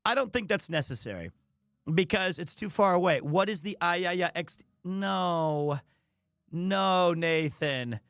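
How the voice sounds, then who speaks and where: A man hosting a livestream, talking casually to his audience in a rambling, jokey, expressive way. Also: sits high, measured pace, no room sound, studio quality. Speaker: livestreamer and host. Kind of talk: casual chat to a stream audience.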